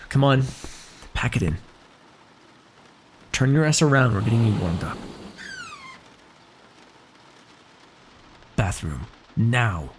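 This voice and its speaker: A man's voice: on the low side, noisy background. A man playing a bossy but caring vampire in an ASMR roleplay, teasing and wry, speaking naturally with small hesitations. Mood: alarmed, bossy. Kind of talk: ASMR roleplay, one-sided dramatic dialogue.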